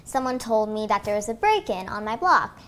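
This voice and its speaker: A woman's voice: fairly high pitch, big pitch swings, a little fast, fairly bright tone. A young author from Pennsylvania telling her stories eagerly in a home kitchen, often starting with "um". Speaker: guest author. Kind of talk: interview answers.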